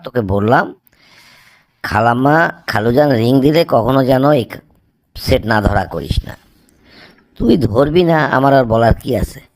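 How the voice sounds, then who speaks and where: A man's voice: mid-range voice, no echo, lightly acted. A man playing a sensible young student friend in an amateur cartoon, earnestly admonishing.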